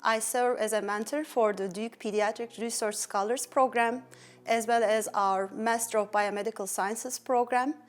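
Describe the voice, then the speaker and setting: A woman's voice: fairly high pitch, fairly even intonation, normal speed. A middle-aged woman from Turkey with a Turkish accent, earnestly telling her life story as an interview guest. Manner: earnest, with fillers and restarts. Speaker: pediatric endocrinologist guest.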